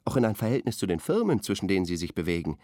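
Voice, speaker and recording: An earnest male art critic in a radio play discussion. He speaks in a low, dry-recorded voice and lectures with pompous certainty. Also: sing-song, rapid-fire, a little mellow, no echo. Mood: solemn, admiring. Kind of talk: radio drama played as studio discussion.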